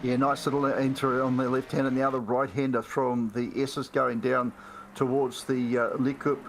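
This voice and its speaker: Gravelly male motor racing commentator. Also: medium pitch, flat delivery, moderate pace, slight background noise. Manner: conversational, rhetorical questions.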